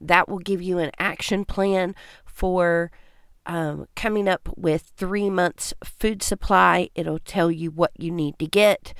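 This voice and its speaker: A woman's voice: on the low side, steady tone, slow, smooth, dry recording, studio quality. A woman hosting a casual homesteading podcast, congested from allergies, chatting in a folksy, friendly way. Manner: folksy, chatty, says y'all. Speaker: podcast host.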